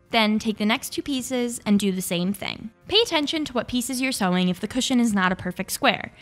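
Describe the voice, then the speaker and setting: A woman's voice: a little high, fairly bright tone. A young woman hosting a craft tutorial, reading scripted step-by-step instructions. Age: young adult. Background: background music.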